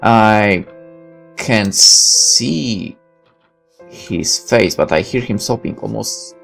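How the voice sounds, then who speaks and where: A man reading a first-person visual novel aloud as narrator, dramatic and deliberate, with halting pauses. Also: lowish voice, animated, gravelly, fairly bright tone, soft background music.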